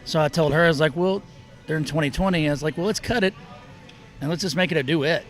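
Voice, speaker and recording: A man's voice: on the high side, quick pace, soft background music. A middle-aged man from Oklahoma and Texas, a musician guest chatting casually and rambling through stories with many restarts at an outdoor festival. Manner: rambling, self-interrupting storyteller. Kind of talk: casual interview chat.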